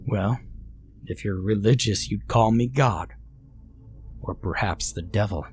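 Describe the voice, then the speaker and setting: A man voicing a mocking ancient god who is part devil, with a deep, flat voice. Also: measured pace, soft background music, lightly acted. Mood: amused. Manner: turns maniacal and wicked. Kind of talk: storytelling with acted dialogue.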